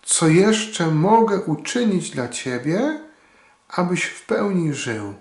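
Slow, gravelly-voiced priest. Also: male voice, mid-range voice. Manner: slow, earnest, with long pauses. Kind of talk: short Sunday homily. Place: small indoor room. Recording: echoey room.

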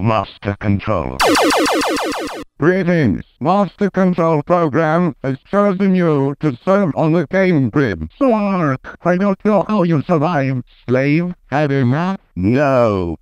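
An actor playing a menacing, imperious villain commander in an arcade game, with a commanding, taunting delivery. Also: male voice, on the high side, clean tone, dry recording. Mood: cold, commanding. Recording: muffled, processed old arcade game clips.

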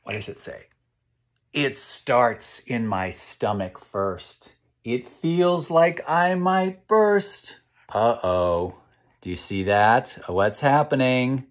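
An American man in his fifties reading a picture book aloud to children in a slow, expressive, encouraging way, pausing to ask them questions. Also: mid-range voice. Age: 50s. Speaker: children's read-aloud host. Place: indoor room at home.

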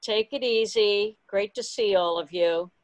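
A woman's voice: mid-range voice, unhurried. A woman chatting casually and jokingly with fellow club members on a video call, often laughing. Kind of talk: casual group chat. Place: indoors at home, video call.

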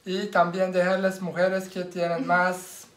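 Co-host, man, forties; deliberate, halting delivery. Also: high-pitched, completely flat delivery, fairly bright tone, slight background noise. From Turkey. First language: Turkish. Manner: slow, halting Spanish. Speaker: vlog co-host. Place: living room. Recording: echoey room.

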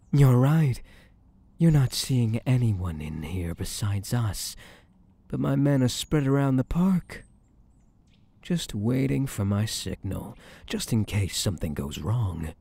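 A man's voice: medium pitch, creaky, dark tone, full character voice. A man playing a smug, possessive, flirtatious mafia boss in an intimate ASMR roleplay, with a teasing, drawling, menacingly sweet manner. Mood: menacing, mocking.

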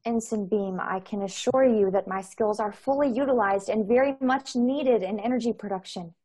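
A woman in her twenties acting a gentle mother, once a starship engineer, in a radio play over a video call. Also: medium pitch, moderate pace, close to an everyday voice. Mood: formal, defensive.

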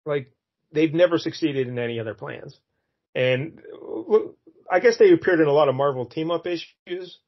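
A man's voice: on the high side, moderate pace, highs cut off. Rambling man chatting comics. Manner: rambling, many fillers, chuckles. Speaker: podcast co-host. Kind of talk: casual comic book chat.

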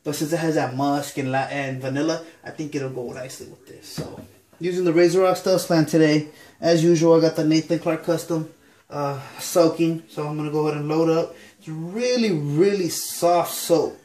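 A man's voice: on the high side, moderate pace, roomy sound. A man in his thirties with a stuffy nose, chatting casually and rambling with lots of ums while talking to the camera in a small home bathroom. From Southern California. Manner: relaxed, rambling with many ums. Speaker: shaving vlogger. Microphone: camera on a counter facing a mirror.

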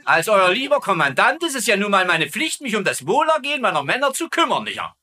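Vain comedy commandant: high, swooping, self-important, easily flattered. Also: male voice, quick pace, heavily acted. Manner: pompous, repeating tag questions. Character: vain, pompous, gullible German camp commandant, middle-aged. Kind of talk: dubbed sitcom acting.

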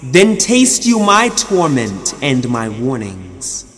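A man reading translated scripture solemnly, with measured, formal and deliberate phrasing. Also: mid-range voice, wildly swooping pitch, a bit bright. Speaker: reader of Quran translation. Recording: long hall reverb.

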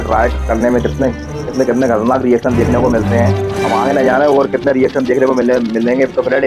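A man with a central Indian accent giving casual, chatty running commentary as a motovlogger while riding, often repeating himself and addressing viewers as friends. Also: mid-range voice. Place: outdoors on a motorbike on a road.

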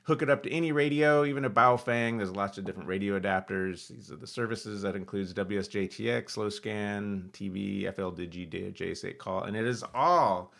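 A man's voice: on the low side, normal speed, vocal fry, few pauses, a bit bright. An American man in his fifties hosting a casual amateur radio tutorial, talking informally and with enthusiasm as he demonstrates. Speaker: amateur radio video host. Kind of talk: casual screen-share tutorial with live commentary. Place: small indoor home radio room.

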